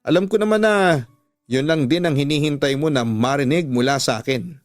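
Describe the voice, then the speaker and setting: A man's voice: on the high side, normal speed, dry recording, studio quality, faint music behind, close to an everyday voice. A man voicing a tearful, angry young woman confronting her cheating boyfriend in a radio drama. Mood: hurt, resigned. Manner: accusing, wounded.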